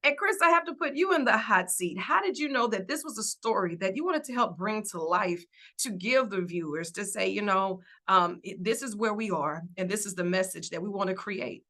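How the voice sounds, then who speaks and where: A woman hosting an interview show, chatting in a lively way, laughing often and acting out what people might say. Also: mid-range voice, fairly quick, hardly any pauses. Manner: animated, laughs often, acts out quotes. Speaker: talk show host.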